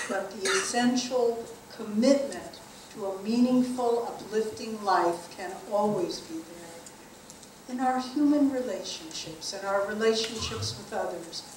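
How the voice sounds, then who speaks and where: A woman gives a spiritual talk in a measured, earnest way, drawing out the phrases that matter most. Her voice rings around a very echoey room and is picked up from some distance, with faint noise underneath. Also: medium pitch. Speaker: spiritual teacher.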